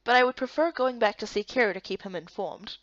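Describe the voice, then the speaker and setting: A woman reading a video game story as narrator and lead, in expressive scripted voice-over. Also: fairly high pitch, quick pace, dry recording, highs cut off.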